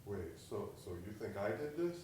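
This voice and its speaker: A man's voice: fairly low pitch, big pitch swings, moderate pace, dark tone. Echoey, urgent, defensive man. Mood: hurt, indignant. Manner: urgent, stumbling over words. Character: flustered, defensive man friend explaining himself. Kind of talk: naturalistic audio drama dialogue. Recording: echoey room, distant from mic.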